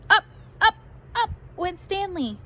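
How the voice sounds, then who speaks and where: A woman reading a children's story aloud like a teacher, expressively, with animated emphasis on key words. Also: extremely high pitch, slow pace, a little hiss or hum.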